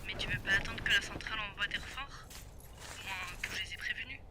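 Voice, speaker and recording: A woman's voice: mid-range voice, quick pace, lightly acted. An actress playing an anxious, frightened wife in a naturalistic audio drama, hesitant and repeating her words. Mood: worried. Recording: background noise under the voice.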